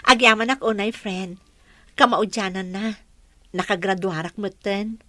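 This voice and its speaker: A woman's voice: medium pitch, steady tone, unhurried, pushed and bright. A woman playing a grateful, anxious young woman in an Ilocano radio drama, with an Ilocano accent.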